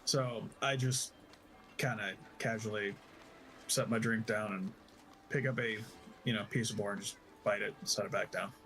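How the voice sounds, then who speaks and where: A man telling an improvised story as a player in a tabletop roleplay game, halting and correcting himself as he goes. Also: lowish voice, steady tone, fairly bright tone, soft background music, rough recording.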